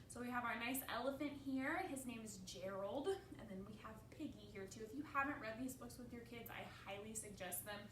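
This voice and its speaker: A woman's voice: on the high side, a little fast, hardly any pauses. A woman leading a children's storytime as librarian, giving simple encouraging instructions and putting questions to young listeners. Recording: roomy, distant mic, faint noise.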